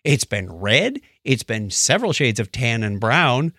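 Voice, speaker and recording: An American man co-hosting a podcast in casual, jokey chat with an animated delivery. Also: medium pitch, measured pace, no room sound, studio quality. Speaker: podcast co-host.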